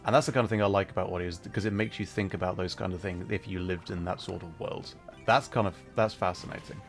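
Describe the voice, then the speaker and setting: A man's voice: sits low, steady tone, quick pace, creaky, soft background music. A man hosting a casual livestream, rambling thoughtfully as he plays and answers chat, with an easy tendency to chuckle. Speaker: livestreamer and host.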